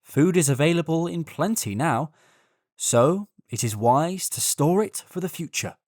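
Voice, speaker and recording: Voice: medium pitch, animated, measured pace, a little mellow, no echo, studio quality. A storyteller voicing a hardworking male ant in a children's fable, speaking in an earnest, matter-of-fact way. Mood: earnest, advising.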